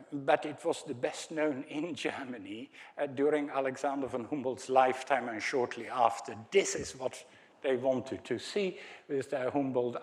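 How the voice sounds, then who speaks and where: An older man with a Dutch accent lectures at an unhurried pace, stopping often on ums and uhs. His delivery is hesitant but friendly, broken by wry little asides to the audience, in a mid-pitched voice. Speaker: academic speaker. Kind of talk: conference lecture with slides.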